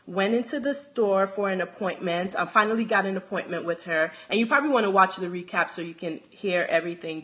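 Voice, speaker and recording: A woman's voice: medium pitch, fairly bright tone. Young Nigerian-accented woman, casual phone livestream host, chatty, loses her thread and restarts. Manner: chatty, restarts often, reads out comments. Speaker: handbag designer hosting a livestream. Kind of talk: casual livestream chat answering viewer comments.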